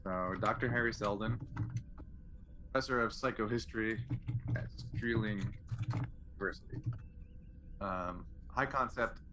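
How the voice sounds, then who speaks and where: A man's voice: on the low side, unhurried, pauses often, background noise. A man chatting casually as a player in a tabletop game, with a wry, joking manner.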